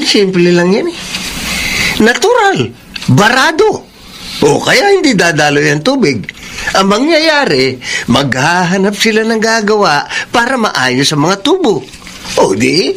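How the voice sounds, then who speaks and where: A poor, scheming Filipino workman in a radio drama. His voice sits a little high and swings widely with theatrical emphasis. There is slight noise in the recording. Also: huge pitch swings, unhurried, fairly bright tone, full character voice. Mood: sly, scheming. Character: scheming poor male laborer, fast-talking, worn down.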